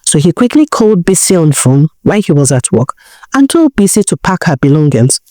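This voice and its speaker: A woman's voice: sits low, very animated, brisk, mellow, dry recording, studio quality. A woman with a Nigerian accent telling a folktale as a dramatic storyteller.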